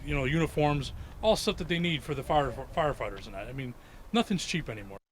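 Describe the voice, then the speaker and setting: Male interviewee, fairly high voice, casual chat over faint outdoor noise. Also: animated, fast.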